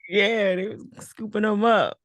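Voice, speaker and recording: A woman's voice: medium pitch, steady tone, a little slow, no echo. A woman hosting a casual interview, chatting in an informal, slangy way with a playful, teasing streak. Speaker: host and interviewer.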